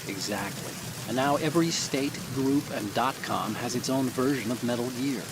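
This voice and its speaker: An American actor playing a brainy, self-assured hacker in a video game, explaining things in an earnest, confident manner. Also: male voice, mid-range voice, moderate pace, lightly acted. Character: brainy, self-assured male tech-support partner and hacker. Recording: heavy rain and background noise.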